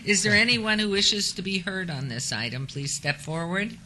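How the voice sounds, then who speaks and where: A woman serving as mayor, chairing a city council meeting at a desk microphone in council chambers, brisk and chatty. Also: medium pitch, expressive, bright, forceful tone, a little hiss or hum. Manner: brisk, chatty, laughs easily.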